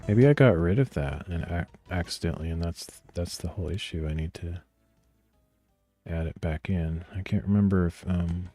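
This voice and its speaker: Male streamer, very low and grainy, soft-spoken, rambling think-aloud delivery with frequent throat clearing. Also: normal speed, soft background music. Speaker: streamer coding live. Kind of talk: casual thinking aloud while coding.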